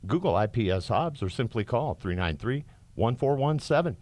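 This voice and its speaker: A man's voice: medium pitch, lively intonation, moderate pace, darkish tone. A man reading a scripted company advertisement as a commercial announcer.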